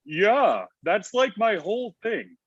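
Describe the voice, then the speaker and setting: A man's voice: sits high, very animated, slow, slightly rough, dull audio, exaggerated voice. A man playing a grumpy, ancient Santa Claus in a comedy table read, with a blunt, testy, conversational manner. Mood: frank.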